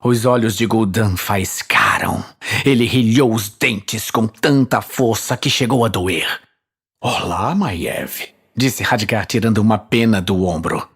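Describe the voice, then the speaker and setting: A man's voice: lowish voice, measured pace, a bit bright, husky. A man with a Brazilian accent reading dramatic scripted fantasy narration as the storyteller.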